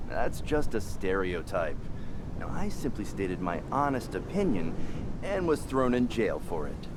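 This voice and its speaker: Frank male escapee: thick accent, very unhurried, easygoing, game drama, noisy boat ambience. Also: fairly low pitch, big pitch swings. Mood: wry, unruffled. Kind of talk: scripted dramatic dialogue.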